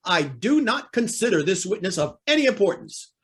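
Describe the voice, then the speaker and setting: Man as impatient judge, authoritative, formal, high-pitched, animated, radio drama. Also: moderate pace. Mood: stern, irritated. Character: stern, impatient trial judge. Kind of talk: old-time radio drama acting.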